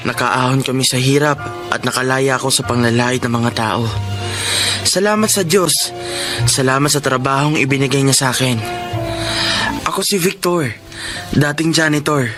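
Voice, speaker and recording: A man's voice: medium pitch, moderate pace, long pauses. Earnest man narrating over music. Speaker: narrator as the story's subject. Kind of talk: dramatic radio narration.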